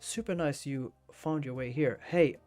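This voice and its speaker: A man's voice: mid-range voice, unhurried, a little mellow, soft background music. A man from Finland with a Finnish accent, hosting a casual photography livestream and thinking aloud with hesitations and repeated words. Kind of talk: casual chat while editing photos.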